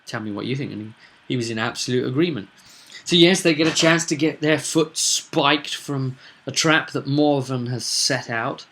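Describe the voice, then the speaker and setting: A man's voice: mid-range voice, a bit bright. Casual, rambling man explaining a tabletop game. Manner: conversational, rambling, with frequent asides to the listener. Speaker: tabletop roleplay vlog host.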